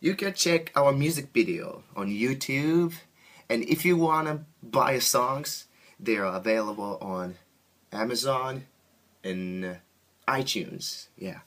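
A man from Japan with a Japanese accent, a band musician answering interview questions in simple, halting second-language phrasing. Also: medium pitch, animated, fairly bright tone.

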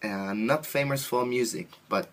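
A man from Japan with a Japanese accent, a band musician answering interview questions in simple, halting second-language phrasing. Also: medium pitch.

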